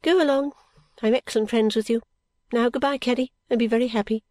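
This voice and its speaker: A woman's voice: a little high, fairly even intonation, brisk, darkish tone, dry recording, close to an everyday voice. A detached middle-aged mother from a storybook, acted by a woman reader. She is airy and unsentimental, serenely brushing every concern aside. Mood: airy, amused. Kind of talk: audiobook character acting.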